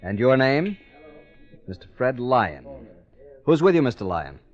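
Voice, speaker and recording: A man's voice: medium pitch, sing-song, unhurried. An actor playing a businesslike police switchboard operator, clipped and emphatic, in 1940s radio style. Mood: brisk, official. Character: brisk, official male police switchboard operator. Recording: muffled vintage radio, music behind.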